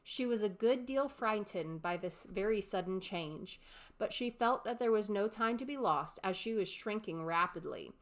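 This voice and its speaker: A woman's voice: medium pitch, expressive, moderate pace. Woman narrating a children's storybook. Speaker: audiobook reader.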